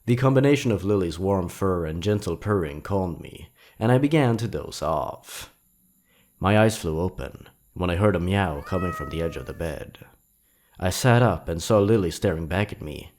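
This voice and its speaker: Man, deep-ish voice, dramatic horror storytelling, highly varied pitch, suspenseful delivery. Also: expressive, normal speed, darkish tone. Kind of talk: dramatic scripted narration of horror stories.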